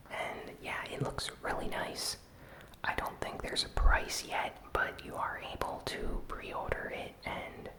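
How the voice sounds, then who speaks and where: An ASMR host whispering an informal gaming news recap in a breathy, soft, unhurried way. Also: poor audio.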